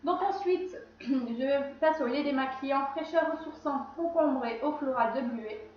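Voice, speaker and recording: Woman, stuffy from a cold, rambling vlog chat; high voice, slightly dull sound. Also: measured pace, heavy reverb. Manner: chatty, rambling, often corrects herself. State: has a cold. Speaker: beauty vlogger. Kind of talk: casual skincare routine chat.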